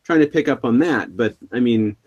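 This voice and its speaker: North dakota guy cohosting a podcast, easygoing, tells stories, mid-pitch voice. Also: animated, normal speed, darkish tone, dull audio. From North Dakota, US Midwest. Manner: easygoing, anecdotal, laughs as he talks. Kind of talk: casual chat.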